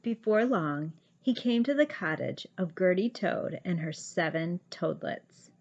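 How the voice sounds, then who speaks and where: Teacher lady reading a kids book out loud, slow n expressive, bit lo-fi. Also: lowish voice. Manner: deliberate, animated. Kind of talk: expressive children's storybook narration.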